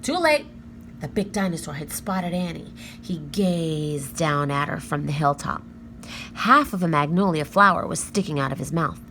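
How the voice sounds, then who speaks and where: A woman narrating a children's book aloud with expressive, careful, dramatic phrasing. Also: low voice, moderate pace, slight background noise. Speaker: storybook reader.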